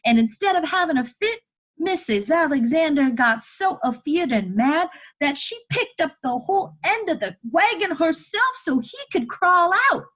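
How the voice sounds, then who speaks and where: A woman's voice: sits high, expressive, normal speed, fairly bright tone, voice pushed up, poor audio. A woman voicing a frightened, stubborn eleven-year-old boy in a storybook, speaking an old-time rural dialect with earnest insistence. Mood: desperate, insistent.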